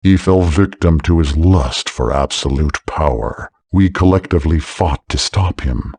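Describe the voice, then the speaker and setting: Very deep, husky, solemn elder lord. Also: male voice, darkish tone, no room sound, heavily acted. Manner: solemn, formal exposition. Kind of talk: fantasy game voice acting.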